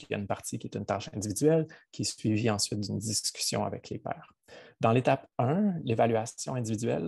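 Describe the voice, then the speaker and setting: A man's voice: lowish voice, creaky, darkish tone, slightly rough, no echo, clean studio sound. A man with a Québécois accent, a college physics teacher giving a webinar presentation over a video call, hesitant with many fillers. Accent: Québécois French. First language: French.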